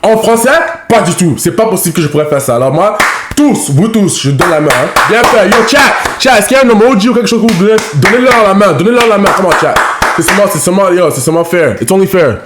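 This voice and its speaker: A man from Canada whose first language is English, reacting to videos in casual French. He talks in a halting way, repeating words and dropping in English fillers. His voice sits high and bounces around an echoey room. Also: lively intonation, heavy background noise. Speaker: reaction streamer.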